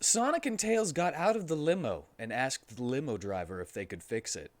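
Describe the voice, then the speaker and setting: A man with a medium-pitched, very animated voice gives a comic dramatic reading of an amateur story. His delivery is mock-serious, with wide swings in intonation. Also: normal speed, no room sound. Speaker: streamer reading a story aloud.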